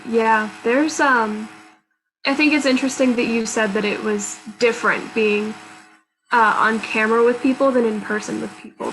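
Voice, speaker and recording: A woman's voice: fairly high pitch, a bit bright, poor audio. A woman moderating an online panel discussion over a video call, speaking conversationally to the group.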